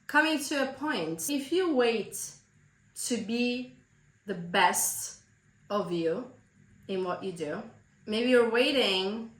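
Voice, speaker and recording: A woman from Turkey with a Turkish accent, a business coach giving earnest, emphatic motivational advice straight to the viewer, slightly hoarse from a cold. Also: medium pitch, fairly bright tone, echoey room, rough recording. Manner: earnest, emphatic, direct address.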